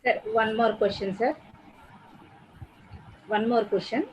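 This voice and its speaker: A woman's voice: a little high, slow pace, highs cut off, a little hiss or hum. A woman with an Indian English accent moderating an online conference, relaying questions politely to the speaker.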